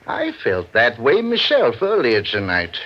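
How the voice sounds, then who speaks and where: An actor playing a boozy, grandiloquent sidekick who scolds in florid, pompous oratory, in 1940s radio drama style. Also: male voice, medium pitch, wildly swooping pitch, unhurried. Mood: wry, curious. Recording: dull vintage radio recording.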